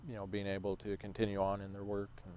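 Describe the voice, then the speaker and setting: A man in his thirties who works at a rescue mission, speaking reflectively and in a measured way during a casual talk outdoors. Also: on the low side, monotone, a little mellow, clean tone. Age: 30s. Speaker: rescue mission staff member. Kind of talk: casual conversation on a livestream. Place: outdoors on a bench.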